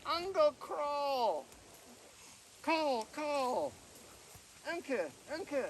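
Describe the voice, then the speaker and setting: A man's voice: very high voice, sing-song, drawn-out words, voice pushed up, full character voice. A man voicing tiny, demanding raven nestlings with childish squawks in an outdoor storybook reading. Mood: excited squawking. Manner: childish squawking, mangled words. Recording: distant mic, noisy outdoor recording.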